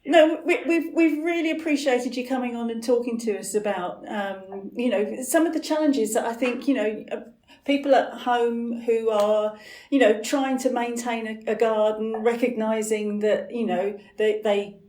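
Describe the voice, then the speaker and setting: A woman's voice: a little high, normal speed, darkish tone, roomy sound. A woman co-hosting a podcast, speaking casually and hesitantly with many fillers. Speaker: podcast co-host.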